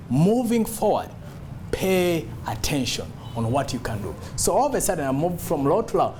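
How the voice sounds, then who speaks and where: A man giving an instructional talk as a public speaking coach, emphatic and deliberate, repeating key words. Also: fairly high pitch, a little mellow, a little hiss or hum. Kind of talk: instructional talk to camera on voice modulation.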